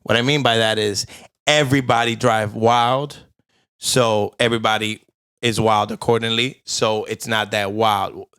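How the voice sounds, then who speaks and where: A man's voice: fairly low pitch, fairly even intonation, unhurried, a bit bright, smooth. Laughing, slangy male podcast co-host. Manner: laughs a lot, loose slangy storytelling. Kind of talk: casual chat.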